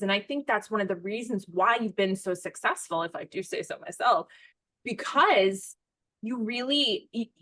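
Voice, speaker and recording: A woman's voice: mid-range voice, fairly bright tone, dry recording. Woman hosting a podcast, dry sound. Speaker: podcast host. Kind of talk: casual podcast conversation.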